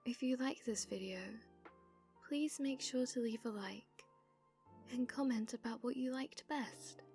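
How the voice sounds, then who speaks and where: A British woman speaking softly and breathily as an ASMR performer addressing listeners. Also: fairly high pitch, measured pace, soft background music. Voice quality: soft, breathy. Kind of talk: soft-spoken ASMR sign-off.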